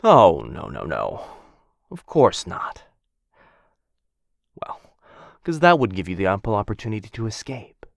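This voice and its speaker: A man's voice: on the low side, wildly swooping pitch, unhurried, vocal fry, pauses often, no echo, heavily acted. A man playing a possessive, courteous dragon captor who dotes on a human pet in a boyfriend ASMR fantasy roleplay, with a coaxing, condescendingly affectionate manner. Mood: smug, teasing. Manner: mock-polite, coaxing, theatrical, condescendingly affectionate.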